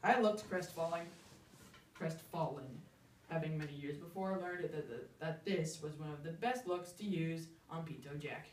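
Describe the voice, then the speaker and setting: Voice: medium pitch, moderate pace, slight background noise. Theatrical storyteller in an echoey room. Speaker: storyteller reciting a humorous story. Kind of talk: dramatic reading, first-person comic narration. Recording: echoey room, distant microphone.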